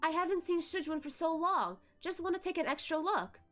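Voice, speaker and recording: A woman's voice: very high-pitched, a little fast, fairly bright tone, faint music behind, pitched above the performer's own voice. A woman voicing a playful, clingy young male disciple who wheedles and pleads in a light, teasing way. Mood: wheedling.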